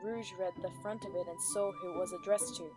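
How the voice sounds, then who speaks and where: A woman's voice: lowish voice, fairly even intonation, a little fast. Woman narrating a story over music.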